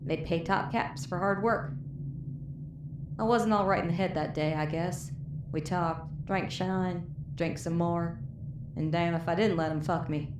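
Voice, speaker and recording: In a roomy, echoing recording with noise behind it, a woman acts out a bitter wasteland moonshiner woman with a low voice and a Southern US drawl. She is wounded and worn out, telling her tale in folksy, profane audio drama style. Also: fairly even intonation, measured pace, lightly acted. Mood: wry, weary. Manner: folksy, profane, weary storytelling. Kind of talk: dramatic first-person storytelling in audio drama. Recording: roomy echo, clear background noise.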